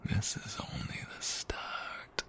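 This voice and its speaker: A man playing a protective, flirtatious ex-mobster husband in an intimate ASMR roleplay, tender but menacing, with breathy murmurs and a teasing manner. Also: slow, pushed and bright. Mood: flirtatious, fond. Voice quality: deep, gravelly, often breathy murmur. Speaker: voice actor.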